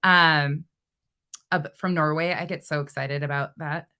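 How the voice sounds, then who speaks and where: Blunt, bright-voiced North Dakota woman. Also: deep voice, measured pace. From North Dakota, USA. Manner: blunt, profane and animated. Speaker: livestream host. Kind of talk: casual livestream chat reading comments.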